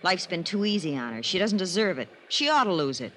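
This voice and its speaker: A woman's voice: fairly low pitch, very animated, a little fast, fairly bright tone, slight background noise. An actress playing a bored, bitter and provocative woman in an old time radio mystery, with an arch, sardonic manner. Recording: vintage radio recording, faint music.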